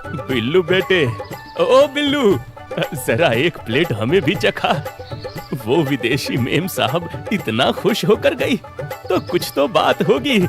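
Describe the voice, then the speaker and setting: A man puts on an old village uncle for a cartoon story. He sounds folksy and coaxing, with pitch that swings up high and down again. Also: huge pitch swings, takes it slowly, gravelly, over music, exaggerated voice. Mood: curious, coaxing.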